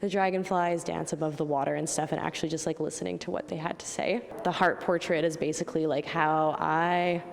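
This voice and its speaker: A woman's voice: sits low, level tone, brisk, vocal fry, hardly any pauses, long reverb tail. A young woman artist from Manitoba, Canada, reflecting casually in an interview with frequent "like" and "you know". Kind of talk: reflective interview talk about own art.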